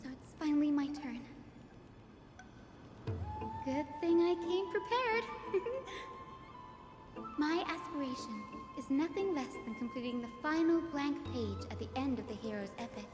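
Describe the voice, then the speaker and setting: A woman's voice: sits high, expressive, a little slow, long pauses. A woman playing an earnest, polite young teaching assistant in a video game, speaking articulately. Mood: eager. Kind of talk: scripted game dialogue. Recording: echoey hall with music behind.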